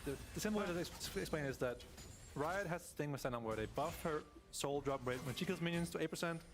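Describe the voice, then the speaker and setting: Quick-talking guy breaking down the game on a broadcast, music in the back. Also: fairly high pitch. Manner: explanatory, analytical. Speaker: color commentator. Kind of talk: esports broadcast analysis.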